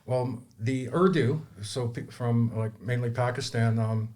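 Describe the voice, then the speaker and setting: A man's voice: medium pitch, fairly even intonation, unhurried, darkish tone. Upbeat rambling man in his fifties. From Toronto, Canada. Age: 50s. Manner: rambling, upbeat, many restarts. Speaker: guest, community photographer. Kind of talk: casual studio chat. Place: studio.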